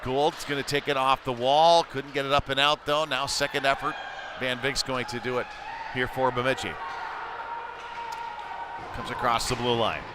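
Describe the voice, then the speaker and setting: A man's voice: medium pitch, steady tone, moderate pace, pauses often. A man calling live ice hockey play by play in short, clipped phrases. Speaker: play-by-play commentator. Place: indoor ice rink. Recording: noisy rink ambience behind voice.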